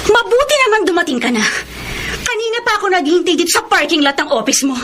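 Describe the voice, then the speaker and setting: A brazen young mistress in a radio melodrama. Her voice is very high and shrill, swinging widely as she accuses and demands. Music plays clearly underneath. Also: sing-song, fast, a bit bright, full character voice. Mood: taunting. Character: jealous, brazen, hot-tempered young mistress. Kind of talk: radio drama acting.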